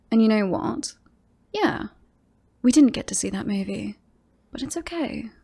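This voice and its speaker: A woman's voice: mid-range voice, mellow, slightly rough. A woman playing a smug, possessive female werewolf in an ASMR roleplay, teasing and mocking as she coaxes her beloved human. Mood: defensive. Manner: teasing, mocking, drawn-out coaxing delivery.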